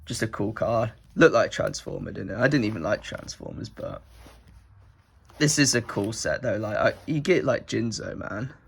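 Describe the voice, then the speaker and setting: A man's voice: lowish voice, lively intonation, moderate pace, vocal fry, slightly rough. A young man with a head cold that makes him sound nasal, rambling casually through his collection with lots of filler words. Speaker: card collector vlogger. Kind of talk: casual rambling show-and-tell.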